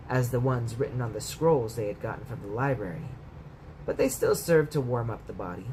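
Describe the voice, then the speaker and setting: A man's voice: medium pitch, a little mellow, poor audio. Man reading a story over background noise. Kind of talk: bedtime story read-aloud, scripted narration.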